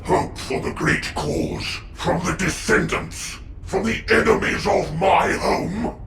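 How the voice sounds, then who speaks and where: An actor playing a proud, vengeful ruler in a video game, declaiming with defiant, commanding force. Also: male voice, sits high, takes it slowly, creaky, fairly bright tone, exaggerated voice. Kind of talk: video game villain cutscene acting. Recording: echoing, distant, with faint noise.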